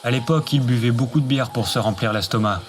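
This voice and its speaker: A man's voice: mid-range voice, fast, a little hiss or hum. A man delivering a scripted, poetic radio monologue with music behind him. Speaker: narrator.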